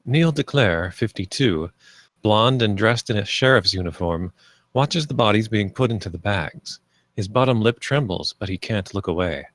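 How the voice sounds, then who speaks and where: A man giving scripted narration, reading screenplay stage directions in a plain, measured manner. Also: lowish voice, lively intonation, a bit bright, dry recording.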